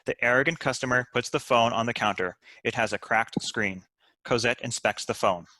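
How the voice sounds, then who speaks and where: A man's voice: medium pitch, moderate pace, pushed and bright, dry recording. A man reading stage directions as scripted narration at a comedy table read.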